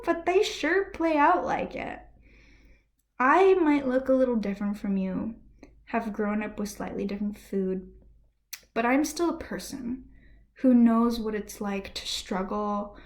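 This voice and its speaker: A woman vlogger speaking to camera from a room at home, giving impassioned personal commentary in a ranty, earnest way that dips into soft, breathy asides. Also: mid-range voice. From United States.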